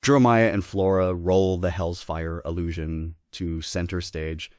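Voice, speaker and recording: A man serves as narrator, reading a play's stage directions from a script. His voice is deep, and the recording is dry with very little room sound. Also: fairly even intonation, unhurried, a little mellow, dry recording.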